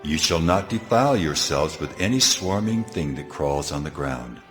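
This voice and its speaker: A man's voice: a bass voice, expressive, moderate pace, soft background music. An actor voicing God as a solemn, authoritative male deity in a dramatized scripture reading. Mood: solemn, commanding.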